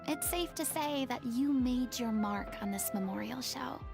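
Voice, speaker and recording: An actress voicing a theatrical former god turned young stage actress, speaking with formal, eloquent diction. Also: female voice, a little high, a little slow, gravelly, over music.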